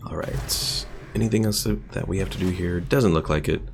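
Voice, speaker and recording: A man's voice: sits low, normal speed, noisy background. A man streaming a game with casual live commentary, thinking aloud as he plays. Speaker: gaming streamer.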